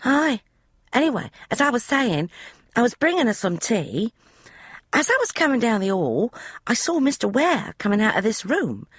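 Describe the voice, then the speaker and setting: A woman's voice: a little high, very animated, moderate pace, husky, no room sound, clean studio sound, pitched above the performer's own voice. A woman voicing a young, flustered housemaid who gossips in broad, common speech. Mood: gossipy.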